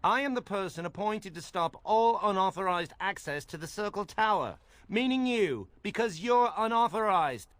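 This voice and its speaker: A man's voice: sits high, animated, normal speed, hardly any pauses, fairly bright tone, no echo. A man playing an officious, smug young templar guard in a fantasy game, blustering and sarcastic. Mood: officious, mocking.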